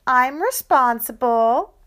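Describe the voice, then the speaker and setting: A woman's voice: a little high, lively intonation, slow pace, fairly bright tone, clean tone, voice pushed up, exaggerated voice. A woman voicing a teenage sister with a fake sweet, whiny way of asking.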